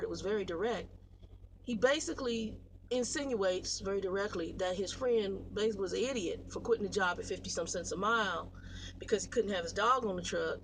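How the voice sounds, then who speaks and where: An American woman in her late forties talks casually to her viewers, rambling through stories in a blunt, animated, slangy way. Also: medium pitch, a little hiss or hum, rough recording. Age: 40s. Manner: blunt, animated storytelling with slangy, salty language. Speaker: truck driver vlogger.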